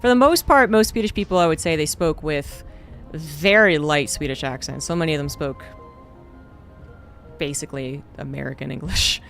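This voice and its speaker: A man's voice: fairly high pitch, normal speed, creaky, faint music behind. A man streaming a game, chatting casually and rambling with lots of fillers. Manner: rambling, relaxed, many fillers. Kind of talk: casual gameplay chat.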